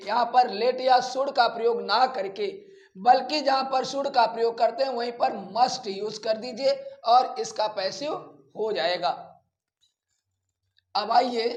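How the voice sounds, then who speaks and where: A man with an Indian accent teaching grammar, explaining rules in a didactic way and repeating key phrases deliberately. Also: very high-pitched, dull audio. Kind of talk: classroom lecture explaining rules bilingually. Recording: roomy, echoing voice pickup.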